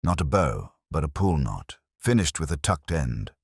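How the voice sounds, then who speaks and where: A man voicing a calm, quietly shrewd police inspector who lays out evidence in a measured, understated and methodical way. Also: very deep voice, husky, dry recording, studio quality, exaggerated voice. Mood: quietly firm.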